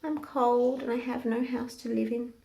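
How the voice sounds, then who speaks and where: Woman as a tiny, sad, high-voiced mouse. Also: flat delivery, normal speed, gravelly, noisy background. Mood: sad, meek. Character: tiny, sad, meek homeless female mouse.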